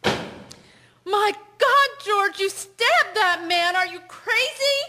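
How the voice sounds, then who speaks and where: Actress as a high-voiced, slow-speaking sailor's sweetheart. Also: female voice, lively intonation, bright, forceful tone, exaggerated voice. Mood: horrified. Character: good-hearted sailor's island girlfriend in the 1860s. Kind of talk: radio drama acting.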